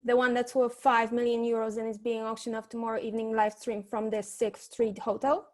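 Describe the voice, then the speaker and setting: Actress as brisk, knowing detective's secretary, fairly high voice, dry but eager, comic noir radio serial. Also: female voice, little pitch movement, a little mellow, close to an everyday voice.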